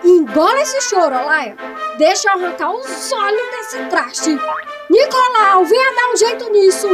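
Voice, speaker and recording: An actress plays a domineering mother-in-law with a Northeastern Brazilian accent in a comic radio soap. Her very high-pitched voice swoops theatrically as she scolds, over faint music. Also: sing-song, a little slow, heavily acted. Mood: furious. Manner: scolding, exclamatory. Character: irascible, domineering middle-aged mother-in-law, loud and theatrical.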